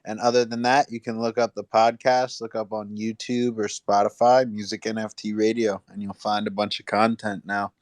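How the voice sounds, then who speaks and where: A man with a fairly low, smooth voice plugs things in casual slang as a show co-host. His intonation stays level, and the sound is close and dry. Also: little pitch movement, normal speed, few pauses, no room sound. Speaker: podcast co-host and promoter. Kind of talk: casual promotional talk on a live online panel.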